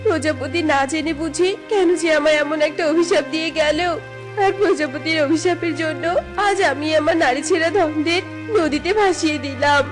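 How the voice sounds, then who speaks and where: Lady doing a distressed village mom, high voice, dramatic and lamenting, cartoon story with soft music behind. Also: normal speed, lightly acted. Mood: grieving. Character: distressed village mother, anguished and pleading. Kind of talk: cartoon fairy-tale voice acting.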